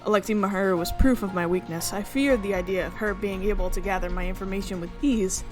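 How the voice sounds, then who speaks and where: A woman's voice: mid-range voice, steady tone, quick pace, soft background music, lightly acted. A woman reading the part of a creepy, persuasive male schemer in a visual novel, speaking formally and pleading earnestly. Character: creepy, persuasive American man, self-justifying schemer.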